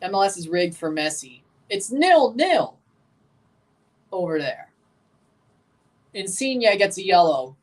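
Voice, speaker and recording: Man, low voice, young adult, blunt livestream commentary, a bit off-mic, voice leaps up when worked up. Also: takes it slowly, long pauses, recorded from a distance. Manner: opinionated, blunt hot takes; excitable, jumps high in pitch when agitated. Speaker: livestream host reacting to a soccer match. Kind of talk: casual livestream commentary, answering chat questions.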